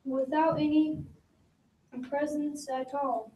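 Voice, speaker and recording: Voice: sits high, fairly even intonation, takes it slowly, mellow. A young reader, sounding like a child, reading a rhyming holiday story aloud as part of a readers theater. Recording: echoey room, distant mic, faint noise.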